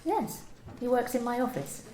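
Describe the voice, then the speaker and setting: A woman's voice: a little high, normal speed, warm tone, natural voice. A woman acting a guarded, incredulous office employee in an amateur radio play, wary and questioning. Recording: roomy, echoey home recording.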